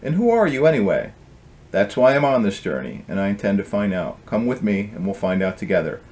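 A man's voice: fairly low pitch, sing-song, brisk, darkish tone. A man voicing a curious, persistent female chicken in a storybook, with a questioning, insistent manner. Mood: encouraging.

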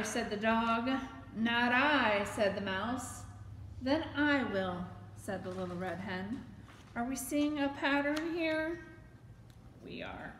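A middle-aged woman reads a children's story at an unhurried pace, pausing to put questions to young listeners. Her voice sits fairly high and rings in a very reverberant library room, picked up from the camera's distance. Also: a bit bright. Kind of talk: animated story time read-aloud with questions to children. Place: library room with bookshelves, indoors. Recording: echoey room with faint background noise.

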